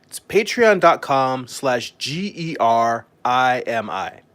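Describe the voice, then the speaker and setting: Comic artist guy on a live stream, kinda high voice, rambles along casually. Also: a bit bright. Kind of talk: casual live-stream chat while demonstrating.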